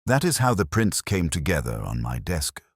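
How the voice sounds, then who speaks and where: Man reading as deep-voiced, sceptical police inspector. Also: very animated, moderate pace, slightly rough, dry recording, studio quality, full character voice. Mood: official, factual. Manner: formal, cautious, matter-of-fact. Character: sceptical, uneasy male police inspector, official and blunt. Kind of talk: audiobook detective story dialogue.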